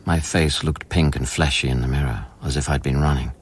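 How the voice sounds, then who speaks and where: An actor playing a haunted, weary scientist who narrates his memories in a measured, introspective radio play style. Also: extremely low pitch, fairly even intonation, slight background noise. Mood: calm, resigned. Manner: brooding, measured, literary.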